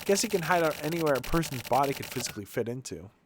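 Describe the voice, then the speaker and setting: A man's voice: mid-range voice, sing-song, very fast, noisy background. A man streaming a game, reading its text aloud in character with a theatrical, colloquial delivery. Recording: music playing behind the voice.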